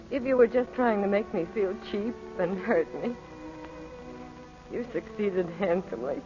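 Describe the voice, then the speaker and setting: An actress plays a proud, sharp-tongued young woman swindler in a 1940s radio play. Her voice sits at a middle pitch. The broadcast recording is old and muffled. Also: natural voice.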